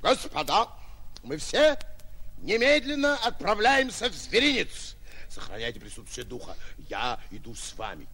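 A boastful, bashful provincial hero of a 1940s radio play. His creaky voice swings widely between hesitant stammer and grand swagger. The old recording is clearly noisy. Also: sits high, very animated, normal speed, sharp tone, exaggerated voice. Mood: grandly resolute. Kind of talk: 1940s Russian radio theatre acting.

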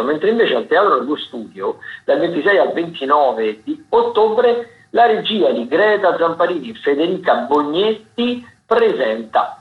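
A man hosting a radio programme, reading out listings informally in a halting, digressive way and often correcting himself. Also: a little high. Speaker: radio host presenting theatre listings. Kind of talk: informal spoken radio listings of upcoming shows. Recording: roomy, slightly dull radio broadcast sound.

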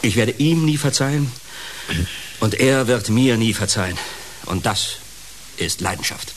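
An actor in a German radio play, playing a bitter, heartbroken fallen entertainer with a gravelly voice and a halting, dramatic delivery. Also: male voice, mid-range voice, lively intonation, natural voice. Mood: anguished, then brooding. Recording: clear background hiss.

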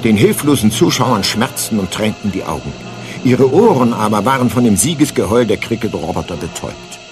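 A male narrator reading a scripted radio play. His voice is on the low side and moves expressively up and down, with faint music behind it. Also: lively intonation, a little fast.

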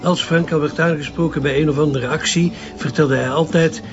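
Slightly dull radio drama audio with music behind. A male actor plays a glib Flemish activist reporter, articulate and condescending. His voice sits a little high and he talks smoothly around questions. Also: steady tone, brisk, close to an everyday voice. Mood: self-assured. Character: smooth-talking, evasive male activist newspaper journalist.

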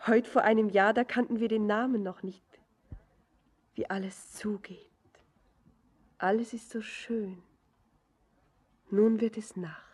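An actress playing a dreamy, tender young miner's daughter in a German radio play, lyrical and often lapsing into whispers. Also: female voice, medium pitch, fairly even intonation, takes it slowly, long pauses, darkish tone, breathy edge. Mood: wondering, dreamy.

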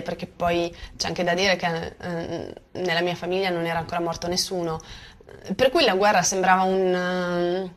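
A woman from Bosnia, a native Bosnian speaker, giving spontaneous personal testimony and hesitantly searching for words. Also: mid-range voice. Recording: faint background noise.

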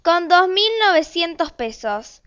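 Radio play mum, woman performer: Argentine, bossy, exasperated, very high bright voice, lo-fi sound. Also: lively intonation, measured pace, heavily acted. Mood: brisk. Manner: exasperated, commanding. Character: bossy, harried housewife and mother nagging her family. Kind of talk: amateur radio drama acting.

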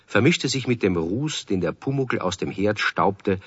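A man with a husky voice narrating a children's radio play as a scripted story. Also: on the low side, expressive, measured pace, dry recording. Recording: dry, muffled 1970s radio recording.

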